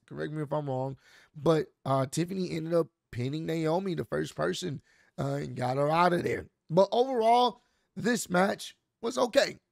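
Hoarse man with a gravelly voice. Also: fairly high pitch, no echo, clean studio sound. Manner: animated, talks with his hands, loose slangy delivery. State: hoarse, voice mostly gone. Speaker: wrestling show vlogger. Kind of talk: casual recap commentary. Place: small home studio. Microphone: large studio mic on boom arm. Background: soft instrumental music.

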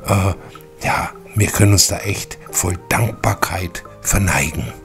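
Deep, creaky-voiced male fan host. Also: takes it slowly, a bit bright, soft background music. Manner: chatty, addresses viewers with tag questions. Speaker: channel host. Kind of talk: casual enthusiastic fan commentary.

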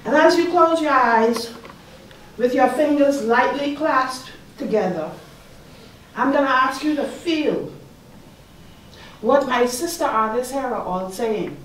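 A woman's voice: high voice, lively intonation, slow, long pauses, far from the mic, slight background noise. Bahamian woman in an echoey hall. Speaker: panel artist. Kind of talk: guided meditation address to an audience. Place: large hall, on stage.

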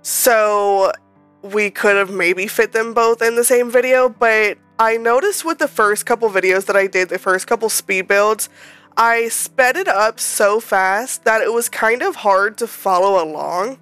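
Woman, rambling vlog voice-over, a bit nasal from a cold, smooth clear tone, faint music behind. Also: mid-range voice, a little slow, fairly bright tone. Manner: chatty and rambling, many ums and restarts. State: slightly nasal, recovering from a cold. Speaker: Sims speed-build creator. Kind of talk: casual voice-over commentary.

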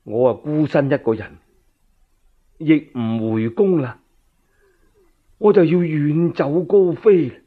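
A man with a Cantonese accent playing a grave, respectful palace steward in a radio story, hushed, halting and solemn. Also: fairly high pitch. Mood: solemn, sorrowful. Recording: dull lo-fi radio recording.

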